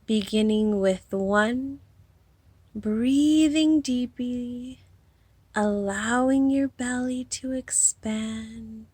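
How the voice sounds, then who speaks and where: A woman leading a guided hypnosis meditation in a soothing, unhurried manner, with a breathy, soft-edged voice and long pauses between phrases. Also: a little high, no echo.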